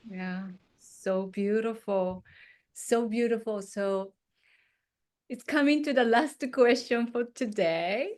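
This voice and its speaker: A woman hosting a casual interview as a non-native English speaker, effusive, with halting phrasing. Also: a little high. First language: not English. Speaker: interview host, coach.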